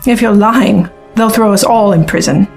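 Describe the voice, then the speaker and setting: A woman's voice: mid-range voice, moderate pace, vocal fry, over music, close to an everyday voice. An actress playing a hard-bitten, sceptical woman reporter and pilot in a science fiction audio drama. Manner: matter-of-fact, sceptical.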